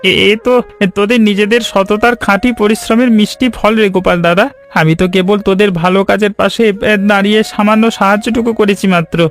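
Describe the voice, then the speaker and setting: A man's voice: high voice, fairly even intonation, fast talker, faint music behind. A man voicing a humble, eager village friend in a cartoon story, with an effusive, folksy manner. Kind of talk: Bengali animated story voice acting.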